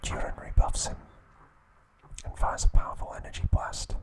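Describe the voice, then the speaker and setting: A man whispering ASMR trivia narration in a soft, steady voice, with casual asides now and then. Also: normal speed. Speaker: ASMR creator.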